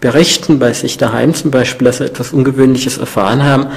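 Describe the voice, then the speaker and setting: A man explains his thinking as an interviewed researcher. His voice is medium in pitch and noticeably creaky. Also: fairly even intonation, fast talker.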